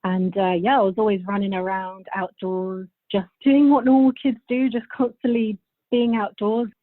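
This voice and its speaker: A woman's voice: medium pitch, steady tone, normal speed, no echo, narrow, tinny audio. A thirty-year-old woman from Bulgaria who moved to England as a child, chatting casually as a podcast guest. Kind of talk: casual interview chat.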